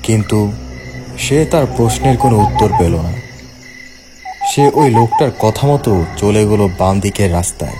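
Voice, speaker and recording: A man's voice: on the low side, expressive, normal speed, pauses often, over music. A man giving scripted narration for a horror cartoon tale.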